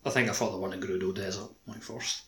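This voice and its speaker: A man chats casually as a gaming podcast co-host. His voice is fairly low but crisp-edged and bright, and his phrases come out in halting pieces padded with lots of filler. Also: fairly even intonation.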